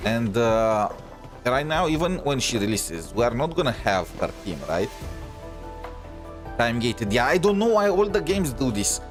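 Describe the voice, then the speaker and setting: A man's voice: mid-range voice, very animated, measured pace. Rambling male game streamer over music. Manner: rambling, thinking aloud, often seeking agreement. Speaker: game livestreamer. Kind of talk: casual gaming stream chat. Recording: music playing behind the voice.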